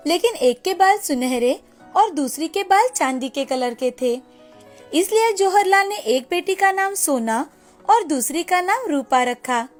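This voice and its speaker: A woman's voice: very high voice, darkish tone. A woman narrating a scripted storybook tale as the storyteller, with music behind her. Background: background music.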